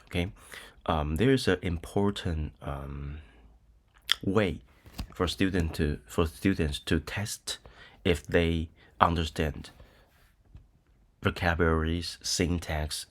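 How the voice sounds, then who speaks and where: Brief: middle-aged Taiwanese male professor, low voice, unhurried, filler-heavy lecturing. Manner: hesitant, many ums and uhs, frequent "okay?" checks. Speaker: university lecturer. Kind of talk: recorded lecture with screen demo.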